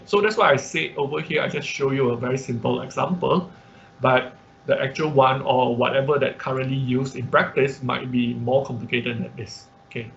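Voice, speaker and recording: A man who speaks as a gives an informal explanatory online lecture, halting and repeating himself, often checking in with short tag questions. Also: medium pitch, gravelly, fairly bright tone. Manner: frequent tag questions like "okay" and "right". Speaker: online class instructor. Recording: dull lo-fi online-class audio with noise.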